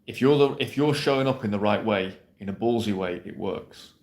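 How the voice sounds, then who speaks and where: A man's voice: fairly low pitch, brisk, a little mellow. A man coaching viewers on dating in a blunt, brash, emphatic way, swearing freely and checking in with "yeah?". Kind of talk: direct-to-camera coaching monologue. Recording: roomy echo.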